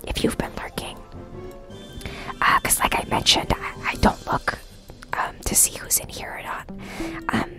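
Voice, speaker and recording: Whispering woman ASMR game streamer. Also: high-pitched. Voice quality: breathy whisper. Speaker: live streamer. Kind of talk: whispered ASMR gaming stream, casual chat with viewers. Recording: music behind the whispering. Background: clear background music.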